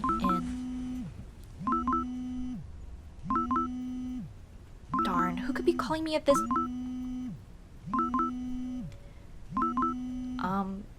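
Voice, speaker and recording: A woman's voice: medium pitch, heavy background noise, natural voice. A young woman playing a shy, teasing tomboy childhood friend in love, in a casual, hesitant and intimate ASMR roleplay. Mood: bashful, affectionate. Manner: playful, bashful, hesitant, casual dude-talk. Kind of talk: ASMR roleplay acting, intimate girlfriend scene.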